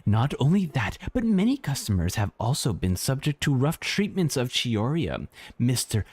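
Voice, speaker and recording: A man's voice: mid-range voice, normal speed, slightly rough, no room sound. A man streaming a game, reading its text aloud theatrically and adding casual commentary. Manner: animated, theatrical reader.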